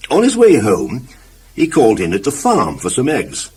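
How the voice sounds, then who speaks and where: A man's voice: medium pitch, huge pitch swings, moderate pace, a little mellow, slightly rough. A man narrating a scripted children's story expressively, with emphatic phrasing and asides to the listener.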